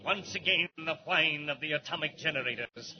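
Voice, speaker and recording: An actor playing a ruthless masked villain in a 1940s radio drama, commanding and taunting. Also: male voice, a little high, normal speed, lightly acted. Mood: gloating menace. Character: the Mask, ruthless gloating masked villain with a doomsday machine. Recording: noisy muffled 1940s radio recording, engine roar.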